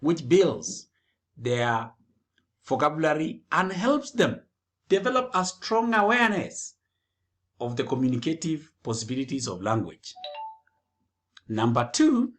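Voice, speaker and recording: A man giving a scripted educational presentation, speaking deliberately and phrase by phrase. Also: on the high side.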